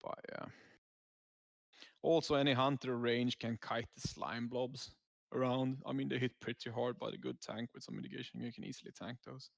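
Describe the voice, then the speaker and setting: A man's voice: medium pitch, little pitch movement, moderate pace, vocal fry, dry recording, clean studio sound. A man giving casual, laid-back gameplay commentary as a raid leader, explaining tactics as he goes.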